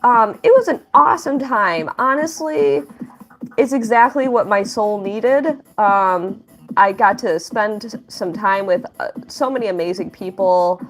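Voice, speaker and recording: A woman's voice: mid-range voice, measured pace, faint music behind. A young woman livestreaming her drawing, chatting casually and upbeat, thanking viewers and reading their messages aloud. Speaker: livestreaming artist.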